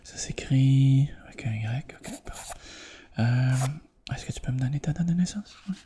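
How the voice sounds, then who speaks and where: A man with a Quebec French accent doing a soft, hushed, reassuring ASMR roleplay as an examiner. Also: medium pitch, lively intonation, moderate pace, a little hiss or hum.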